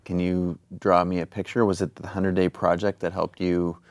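A man's voice: low voice, little pitch movement, moderate pace, no echo. A man hosting a podcast interview, asking thoughtful, searching questions. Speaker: podcast host.

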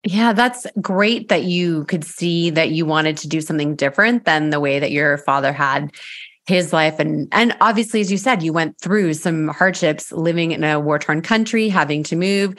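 A woman's voice: lowish voice, moderate pace, fairly bright tone. A middle-aged woman hosting a podcast, talking in a conversational, affirming way. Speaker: podcast host. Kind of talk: casual interview talk.

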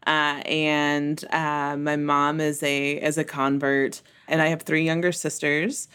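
A woman's voice: sits low, little pitch movement, slow pace, a bit bright, clear, resonant tone. A woman from the United States with an American accent, answering conversationally as an interview guest and often laughing as she talks. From Memphis, United States. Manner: laughs often while talking. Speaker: interview guest in church ministry.

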